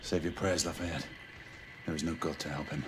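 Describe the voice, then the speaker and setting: An actor playing a stern, seasoned British knight in a video game, terse and commanding. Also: male voice, rumbling low, steady tone, moderate pace, noisy background. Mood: bitter, grim. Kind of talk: dramatic video game cutscene acting. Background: music.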